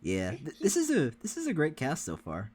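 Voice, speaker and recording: A man's voice: fairly high pitch, huge pitch swings, normal speed, a little mellow. A man co-hosting a reaction livestream, chatting casually with the viewers. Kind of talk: casual chat with stream viewers.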